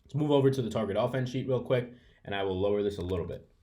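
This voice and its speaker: A man's voice: lowish voice, rapid-fire, mellow. A man giving a casual webcam breakdown of fantasy basketball picks, rambling and correcting himself, sniffling from allergies. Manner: rambling, self-correcting, chatty. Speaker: fantasy sports analyst. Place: room at home. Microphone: webcam setup.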